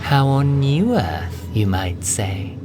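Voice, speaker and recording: A man's voice: medium pitch, sing-song, takes it slowly, background noise, voice pushed up. A man voicing a stern, smug older female cat nun, superior and clipped, in an audio drama.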